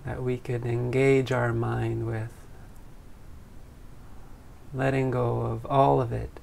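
Guy leading a guided meditation, gentle and unhurried, lots of fry in the voice, pretty flat tone. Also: mid-range voice, a little hiss or hum. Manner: gentle, unhurried, with long pauses. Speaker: meditation teacher.

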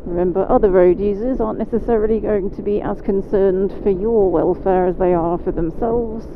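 Calm British woman riding, tinny helmet mic. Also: medium pitch. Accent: British English. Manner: calm, measured, talks through each riding step. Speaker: motorcycle instructor. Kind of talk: instructional commentary while riding. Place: on a motorcycle on wet roads in rain. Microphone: helmet mic while riding. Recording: phone-like helmet mic, road and engine noise.

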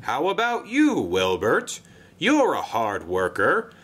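A pompous railway controller as a man acts him out for a children's train tale. He is formal, effusive and eager, with swooping high inflections and a bright, forceful delivery. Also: wildly swooping pitch, slow pace, heavily acted. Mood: hearty, eager. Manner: formal, effusive, flustered when surprised.

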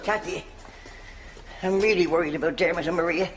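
A man's voice: fairly high pitch, a little fast, close to an everyday voice. A man playing a brash, foul-mouthed, meddling older Irish mother in a broad sitcom. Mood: sardonic. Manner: crude, sarcastic, motherly. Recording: noisy studio with loud audience.

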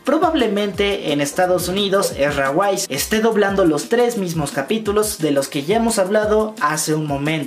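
A man in his twenties with a Mexican accent, explaining fan news directly to his viewers as a YouTube host. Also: high-pitched. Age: 20s. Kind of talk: fan news vlog to camera. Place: small room at home, indoors. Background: background music.

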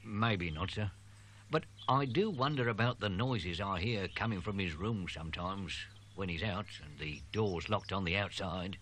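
A man's voice: low-pitched, normal speed, fairly bright tone, slight background noise. A man playing a fretful old servant. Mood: worried, deferential. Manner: working-class speech, humble, says sir often. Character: old male college servant, worried and deferential.

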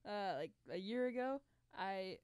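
A woman's voice: medium pitch, animated, measured pace, a little mellow, clear, resonant tone, no echo. A young woman co-hosting in casual chat at a stand microphone. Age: young adult. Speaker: podcast co-host and duet singer. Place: small curtained studio. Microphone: stand microphone with headphones.

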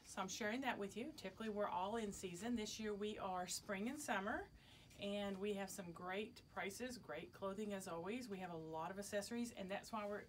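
A middle-aged woman, a shop owner making a casual announcement to customers, recorded indoors a few steps from the camera. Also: medium pitch. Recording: background noise, camera from a distance.

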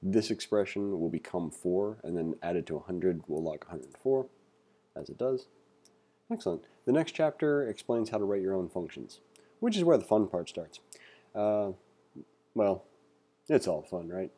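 Low, mellow-voiced man teaching code. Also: moderate pace. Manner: relaxed, thinks aloud with fillers. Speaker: coding tutor. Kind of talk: casual read-along lesson.

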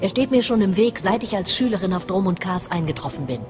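A woman playing a scheming, cold and deliberate Sith master in a video game. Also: medium pitch, expressive, lightly acted. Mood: bitter. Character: scheming, bitter female Sith master plotting against a rival. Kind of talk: scripted video game acting in German. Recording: long reverb, music under voice.

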